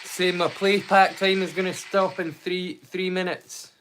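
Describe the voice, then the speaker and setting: A man's voice: high-pitched, level tone, unhurried, fairly bright tone, a little hiss or hum. A middle-aged man from the UK hosting a watch-along with casual live commentary.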